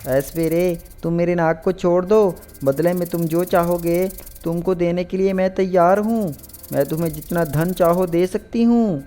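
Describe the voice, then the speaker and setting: A man voicing a magical shape-shifting female serpent, beseeching and gracious, with drawn-out phrasing, in an animated story. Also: high-pitched, faint music behind, pitched above the performer's own voice, close to an everyday voice. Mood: pleading.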